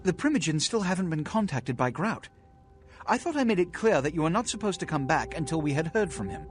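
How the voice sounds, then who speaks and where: An actor playing a haughty, scheming vampire prince in a video game, speaking in a formal, commanding, theatrical way. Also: male voice, on the high side, sing-song, brisk, slightly rough, faint music behind. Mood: irritated. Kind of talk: video game character acting.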